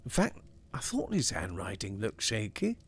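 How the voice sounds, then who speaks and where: A man's voice: fairly low pitch, huge pitch swings, moderate pace, husky, full character voice. A man reading aloud and voicing a dry, sardonic husband who makes light of a guest's arrival. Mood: wry.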